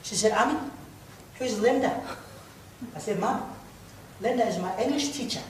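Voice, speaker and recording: A man telling a funny personal story in a humorous speech contest, pausing for laughs. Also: very high voice. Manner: telling a comic story to an audience with pauses for laughs. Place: large hall, public speaking contest. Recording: echoey hall from far off, noisy. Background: audience laughter.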